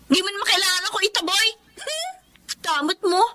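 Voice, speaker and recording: A woman's voice: very high-pitched, big pitch swings, a little fast, background noise. A woman playing a caring but outspoken poor young wife in a Filipino drama, earnest and plaintive. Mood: hurt, indignant. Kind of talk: Filipino radio-style drama acting.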